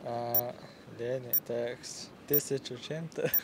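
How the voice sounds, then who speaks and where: A man's voice: medium pitch, fairly even intonation, slow. A young man guessing uncertainly at street quiz answers on a pedestrian street. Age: young adult. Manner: unsure, guessing. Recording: street noise clearly heard.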